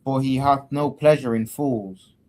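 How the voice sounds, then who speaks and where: A man in a Bible study group, reading verses aloud slowly and carefully. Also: mid-range voice, steady tone, darkish tone.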